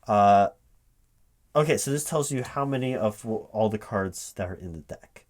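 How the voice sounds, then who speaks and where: A man in a spoken, unwhispered ASMR roleplay, talking casually and haltingly to an unheard partner as if puzzling things out aloud. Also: lowish voice, lively intonation, darkish tone. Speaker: recruiting manager interviewing a gamer in a one-sided roleplay. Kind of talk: spoken ASMR roleplay, casual one-sided conversation, not whispered.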